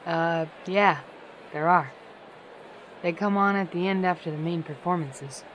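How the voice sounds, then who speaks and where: A woman's voice: on the low side, a little slow, lo-fi sound, slight background noise, lightly acted. A woman voicing a dry, guarded, protective older-sister pony in a fan audio drama. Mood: guarded, skeptical.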